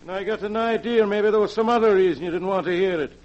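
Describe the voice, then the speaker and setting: Man playing a gruff suspicious barkeep, streetwise talk, a bit high, dull old sound. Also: fast talker, close to an everyday voice. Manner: streetwise, says "see?". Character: suspicious tough male bartender, gruff. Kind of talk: 1940s radio acting. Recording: dull old radio recording.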